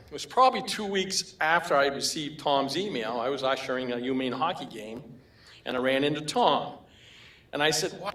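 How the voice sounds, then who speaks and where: A man's voice: fairly high pitch, fairly bright tone. An older man with a Maine accent giving a humorous award acceptance speech, telling anecdotes with chuckles. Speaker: award recipient. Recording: roomy hall echo.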